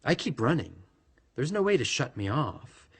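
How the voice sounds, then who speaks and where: Sentient android double: husky, highly inflected, resentful and lovesick, quietly menacing radio drama villain. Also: male voice, sing-song, moderate pace, full character voice.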